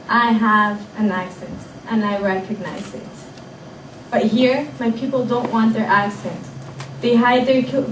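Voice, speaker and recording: A woman's voice: a little high, a little hiss or hum. Woman performing spoken word, echoey hall. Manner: dramatic, rhythmic delivery. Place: community event hall. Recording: echoey hall, mic far off.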